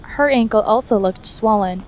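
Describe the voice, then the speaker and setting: A woman's voice: medium pitch, moderate pace, a little hiss or hum, low-quality recording. A woman reading scripted fiction aloud as the narrator of an action fantasy story.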